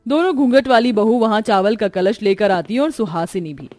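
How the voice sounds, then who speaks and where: A woman narrates a scripted moral tale as storyteller. The sound is dry, and soft music plays underneath her. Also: medium pitch, moderate pace, no room sound.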